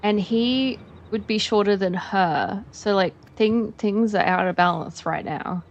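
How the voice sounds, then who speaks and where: A woman's voice: mid-range voice, a little slow, muffled recording, a little hiss or hum. A young Australian woman chatting casually while streaming a game, rambling with lots of fillers.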